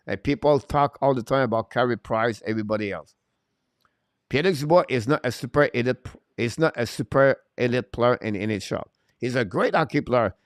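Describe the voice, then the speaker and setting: A man's voice: medium pitch, clean tone, dry recording, studio quality. A man hosting a live hockey stream, giving unscripted, emphatic opinions and answering chat. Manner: opinionated, emphatic, repeats points.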